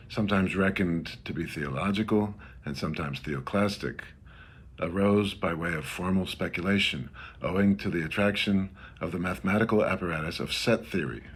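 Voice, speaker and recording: A man's voice: low voice, moderate pace, a bit bright. A man giving a scripted reading of a mock-scholarly literary essay. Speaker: reader of a literary text. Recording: faint background noise.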